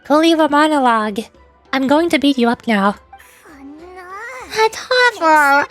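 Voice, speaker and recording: A woman with a high voice reads game dialogue aloud as a streamer. Her delivery is playful, expressive and cartoonish, with faint music behind her. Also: a little slow. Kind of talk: playful game read-along with amateur voice acting.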